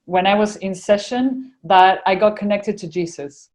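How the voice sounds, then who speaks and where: A woman's voice: mid-range voice, normal speed, a little mellow. Chatty woman with an Argentinian accent. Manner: chatty, many fillers and restarts. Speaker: podcast guest. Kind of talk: casual interview conversation.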